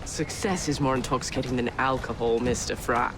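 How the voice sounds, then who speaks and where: A woman's voice: rumbling low, fairly even intonation, a little fast, background noise. An actress playing a haughty, ruthless Victorian businesswoman in a video game, with a poised, silky, formal delivery. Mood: smug, commanding. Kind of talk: video game cutscene acting.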